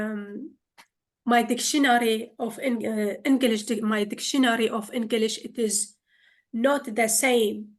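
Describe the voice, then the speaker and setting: A woman whose first language is Arabic, speaking in a panel conversation in a halting way, often searching for her words. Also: fairly high pitch. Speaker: poet.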